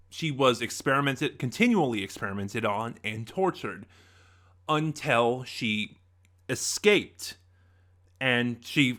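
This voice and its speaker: Man, bright tense voice, chatty review host, unscripted, animated asides. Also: medium pitch. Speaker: podcast reviewer.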